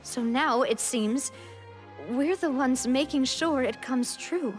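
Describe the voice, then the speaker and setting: A woman's voice: high-pitched, slow pace, loud music behind the voice. An actress playing an earnest, hesitant young woman in a story-driven game. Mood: grave, realizing.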